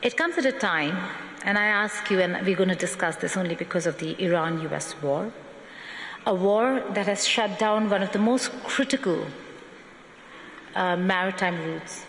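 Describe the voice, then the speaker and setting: A woman's voice: mid-range voice, moderate pace, a bit bright. Direct woman moderator, roomy echo, faint crowd noise behind. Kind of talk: moderating a panel. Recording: roomy hall with long reverb.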